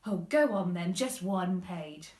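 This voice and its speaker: A woman's voice: on the low side, lively intonation, takes it slowly, a little mellow, reverberant. A woman voicing a weary, exasperated mother in a children's storybook. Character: Missus Large, weary, exasperated mother elephant.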